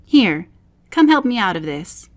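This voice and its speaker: A woman's voice: mid-range voice, big pitch swings, a little slow. A woman voicing an angry, impatient male rabbit master in a storybook, barking orders and scolding. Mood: angry, demanding.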